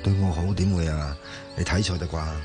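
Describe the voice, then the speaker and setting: A man's voice: sits low, steady tone, fairly quick, over music, close to an everyday voice. An actor with a Hong Kong accent playing an easygoing, trusting young man in a radio drama, casually brushing things off. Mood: defensive. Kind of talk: Cantonese radio drama acting.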